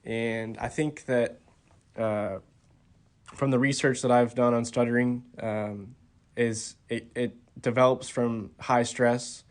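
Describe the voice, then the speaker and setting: A college-aged man being interviewed on a podcast, soft-spoken and hesitant, with many ums and restarts. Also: medium pitch, steady tone, slow pace. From Texas. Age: 20s. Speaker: college golfer, podcast guest. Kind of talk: casual interview talk.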